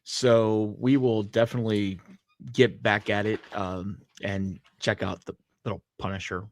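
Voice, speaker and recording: A man co-hosting a podcast, chatting casually in a measured, hesitant way and qualifying his opinions. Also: fairly low pitch, little pitch movement. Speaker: podcast co-host. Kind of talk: casual chat about comics and films.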